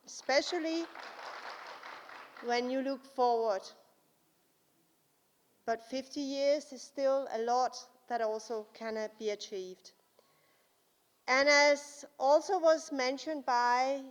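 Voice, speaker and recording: A woman with a Danish accent gives a formal speech in a large conference hall, her voice fairly high and her delivery slow and careful. Long reverb trails each phrase, and she often pauses with small fillers. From Denmark. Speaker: guest speaker.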